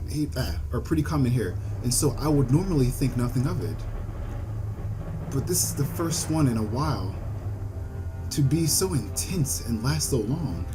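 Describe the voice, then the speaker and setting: A man hosting a visual novel let's play, reading aloud and commenting in a halting way with repeated phrases, over game music. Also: mid-range voice. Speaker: gaming video host. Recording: music behind the voice.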